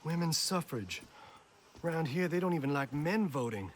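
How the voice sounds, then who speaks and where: An actor playing an anxious, lovestruck young gentleman in a video game, fretful and well-spoken. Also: a little high, animated, moderate pace, a little hiss or hum, lightly acted. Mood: fretful pleading. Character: anxious, lovestruck young male heir of genteel family. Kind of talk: naturalistic video game acting.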